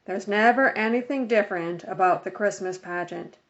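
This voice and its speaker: A woman's voice: medium pitch, moderate pace, a bit bright, natural voice. A woman voicing a reluctant, easygoing homebody father in a children's storybook, grumbling in a laid-back way. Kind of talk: children's storybook read-aloud. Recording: dull lo-fi home recording.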